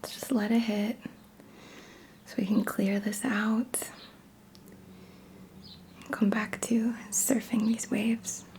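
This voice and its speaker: A woman leading a reiki ASMR guided meditation in a soft, breathy, mostly whispered voice, gentle and soothing, with lingering pauses. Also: medium pitch, little pitch movement. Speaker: reiki ASMR practitioner leading a healing session. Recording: clear background noise, ringing singing bowls. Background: tuning forks and singing bowl tones.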